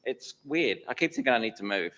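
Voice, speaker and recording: A man's voice: medium pitch, expressive, a little fast, pushed and bright. A man in his fifties with a mixed, mostly Australian accent, the chair of a church council, answering questions with rambling dry humour. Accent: mixed, Australian with traces of places lived. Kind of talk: interview answers.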